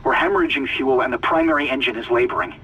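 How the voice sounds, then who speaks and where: An actor playing a shaken, anxious chief engineer on a besieged spaceship, in a dramatic video game audio log. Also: male voice, mid-range voice, fast, dull audio, natural voice. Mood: grim, weary.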